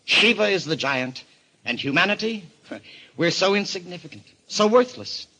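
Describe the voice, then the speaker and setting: An actor playing an arrogant, obsessed explorer in an old-time radio mystery, speaking in a grandiose, theatrical way. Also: male voice, sits high, sing-song, moderate pace, noisy background. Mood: brooding, fervent. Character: obsessed, arrogant male explorer enthralled by a cult of destruction.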